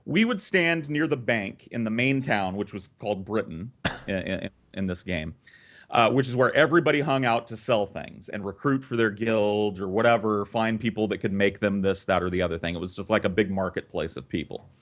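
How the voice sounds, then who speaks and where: A man's voice: on the low side, moderate pace. A man telling a story casually and comedically, swearing freely and acting out both sides of conversations. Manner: profane, animated re-enacting of conversations. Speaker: storyteller and host.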